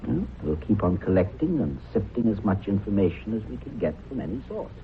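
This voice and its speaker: Keen radio reporter, tinny old recording. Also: male voice, lowish voice, very animated, fairly quick. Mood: absorbed, intrigued. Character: keen, curious male broadcast reporter and husband. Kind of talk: vintage radio drama acting. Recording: noisy, narrow-band old radio recording.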